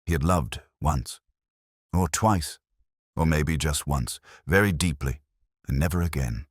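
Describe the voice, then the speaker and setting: A man playing a melancholy, reflective police inspector, narrating an audio drama in slow, measured, solemn literary prose with deliberate pauses. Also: extremely low pitch, breathy edge, no echo, clean studio sound, exaggerated voice. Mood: wistful. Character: melancholy, reflective male police detective inspector telling his story.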